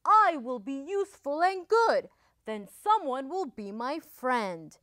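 A woman's voice: high voice, very animated, slow, a bit bright, no room sound, clean studio sound, full character voice. A woman voicing a lonely, polite wolf in a children's story who earnestly tries to be good. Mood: hopeful, resolved.

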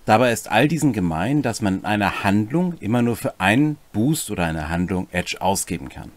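Middle-aged man with very lively pitch. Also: a little fast, no echo. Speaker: host of a tabletop game rules series. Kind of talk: explanatory presentation with casual asides. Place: small cluttered home room, indoors.

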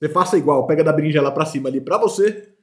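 Quick-talking Brazilian man in casual chat. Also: on the high side, reverberant. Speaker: food show host. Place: indoor studio set at a table. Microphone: desk microphone on the table.

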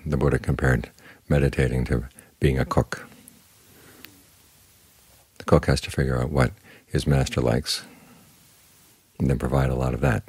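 The very low, husky man you would hear at a morning meditation sitting, calmly guiding everyone in plain words, close and dry in the ear. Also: no room sound. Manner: calm, instructive, plain-spoken. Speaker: Buddhist monk, meditation teacher. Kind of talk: short spoken Dhamma talk guiding meditation.

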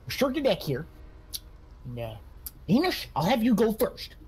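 Stammering country sheriff, high voice swooping all over, bumbling, game noise behind. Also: male voice, huge pitch swings, moderate pace, a little mellow, husky, exaggerated voice. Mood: sneering, smug. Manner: stammering, repeats words frantically. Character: excitable male country sheriff, bumbling and sneering. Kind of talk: improvised comedy roleplay in a video game.

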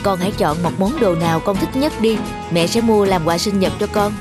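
A woman's voice: medium pitch, brisk, natural voice. A woman playing a kind, polite, indulgent young mother in a cartoon, with music behind her. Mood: gentle, fond. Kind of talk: cartoon voice acting.

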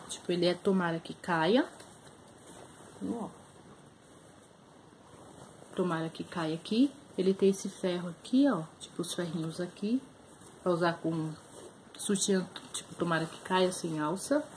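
Young brazilian woman showing off bargain clothes, chatty and excited, close webcam, bit of hiss. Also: lowish voice, rough recording. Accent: Brazilian Portuguese. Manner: chatty, shows items up close, many fillers. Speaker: vlogger. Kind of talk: casual haul video chat. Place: small room indoors.

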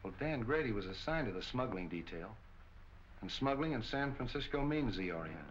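An actor with an American accent playing a gruff, plain-spoken police captain, terse and colloquial, in a 1940s film. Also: male voice, mid-range voice, animated, a little fast, close to an everyday voice. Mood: earnest. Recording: dull, hissy 1940 film soundtrack.

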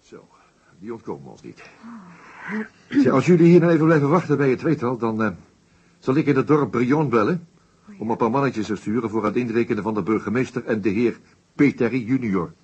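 An actor playing an unflappable, wry police inspector in a radio play, husky and nonchalant. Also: male voice, mid-range voice, lively intonation, a little fast, darkish tone. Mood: wry, relaxed. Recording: slightly dull radio drama recording.

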